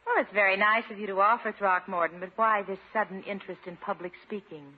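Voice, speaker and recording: A woman's voice: medium pitch, steady tone, quick pace, husky, natural voice. An actress playing a gracious lady friend in a 1950s radio comedy, poised and wryly teasing. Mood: curious, gracious. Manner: poised, wry, politely amused. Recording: noisy, tinny 1950s radio recording.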